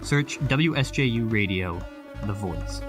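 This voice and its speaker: A male radio announcer delivers scripted closing credits in a fairly low voice. Plucked guitar music plays clearly behind him. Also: lively intonation, a little slow.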